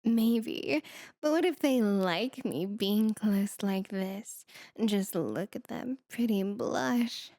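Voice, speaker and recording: A woman's voice: mid-range voice, takes it slowly, mellow, no echo, clean studio sound. A woman voicing a playful, doting, mischievous demon who coos and teases in a flirty ASMR roleplay. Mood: seductive, amused. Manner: cooing, teasing, mock-sweet delivery.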